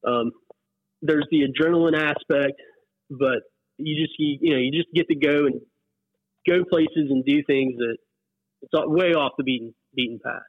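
A man's voice: on the high side, normal speed, long pauses, no room sound. A man in his forties with an American accent, chatting casually and reminiscing as an interview guest. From West Virginia, US. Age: 40s. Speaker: interview guest, whitewater paddler. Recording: muffled phone-like line, dry.

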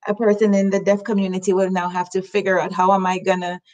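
A woman's voice: mid-range voice, completely flat delivery, fast talker, a little mellow. A woman hosting an interview, talking in a reflective, conversational way and laughing lightly. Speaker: host.

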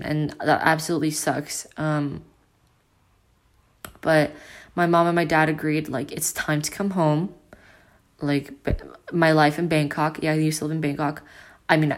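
An eighteen-year-old woman hosting her own casual podcast from home, with a deep, loud voice, rambling with lots of fillers and restarts. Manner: rambling, filler-heavy, self-interrupting. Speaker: podcast host. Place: at home with family.

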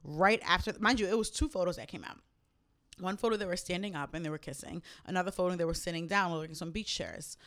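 A young woman co-hosting a podcast, chatting casually, cursing freely and jumping up high when outraged. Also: fast. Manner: animated, swears often, spikes high when outraged. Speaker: podcast co-host. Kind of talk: casual chat.

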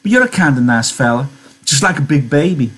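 Man putting on a high, vain young farm wife. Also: expressive, brisk, fairly bright tone, slightly rough. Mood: complacent, chatty. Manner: chatty, informal rustic speech.

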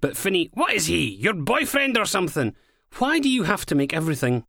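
Audiobook role: male reader as scornful Scottish female inspector, raised pitch, animated, cynical and sweary. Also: brisk, vocal fry, no room sound, clean studio sound, full character voice. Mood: scheming, needling. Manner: sweary, sardonic, uses Scottish slang. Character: brash, foul-mouthed, cynical female police inspector, scornful and impatient.

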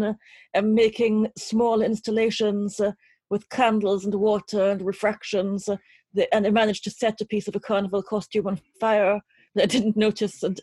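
Slightly husky woman, articulate and literary. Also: medium pitch, darkish tone, no room sound. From Trinidad. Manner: articulate, literary, deliberate phrasing. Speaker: interview guest, poet and writer. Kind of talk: reflective interview conversation.

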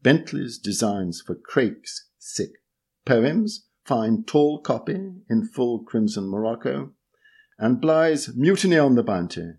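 Husky man reading with lively intonation. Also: medium pitch, slow. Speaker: reader of a magazine article. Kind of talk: measured read-aloud narration.

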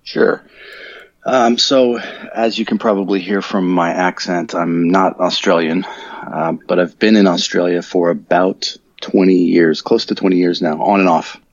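A deep-voiced, husky middle-aged American man talking through a dull, muffled line with a dry sound. He rambles easily, restarts his sentences and fills gaps with ums, like a guest chatting on a podcast. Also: unhurried, dry recording. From Colorado, USA. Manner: relaxed, rambling, self-correcting with frequent ums. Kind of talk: conversational podcast interview.

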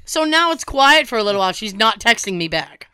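A young woman, hoarse from a cold, telling a story in casual chat and acting out quoted dialogue with a swear now and then. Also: fairly high pitch, very animated, brisk, bright, forceful tone.

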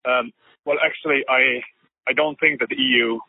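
A man's voice: medium pitch, fairly even intonation, normal speed, no echo. A man, a law researcher, answering interview questions on a phone call in a thoughtful way, with many fillers.

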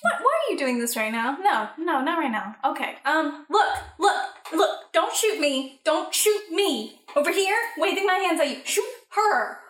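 A woman's voice: sits high, lively intonation, fairly bright tone, distant mic. A woman playing an exasperated, melodramatic sister in an improvised comic murder mystery radio play. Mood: pleading. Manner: dramatic, halting, pleading and exasperated. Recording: roomy echo, off the mic.

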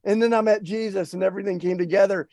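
A middle-aged man with an East Tennessee Southern accent, talking casually as an interview guest, folksy and relaxed, with many restarts and fillers. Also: high-pitched, fast, no echo, studio quality.

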